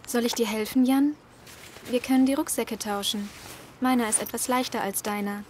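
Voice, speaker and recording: Woman acting an observant, high-voiced survivor. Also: fairly even intonation, normal speed, a little mellow, a little hiss or hum, lightly acted. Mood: earnest. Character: helpful, observant female survivor. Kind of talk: audio drama acting.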